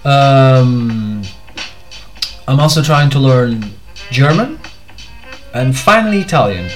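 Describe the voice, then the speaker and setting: A man's voice: mid-range voice, lively intonation, slow pace. Hesitant man. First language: Portuguese. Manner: hesitant, many fillers and restarts. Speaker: podcast host. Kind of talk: rambling solo vlog. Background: faint music.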